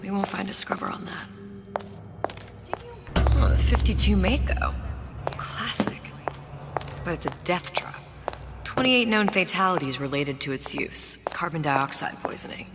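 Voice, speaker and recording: An actress playing a clever, resolute young woman in a game, bookish and haunted, with a dry, often hushed delivery. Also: lowish voice, measured pace, long pauses, whispered, big-room sound, loud music behind the voice. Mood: pensive, focused. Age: young adult.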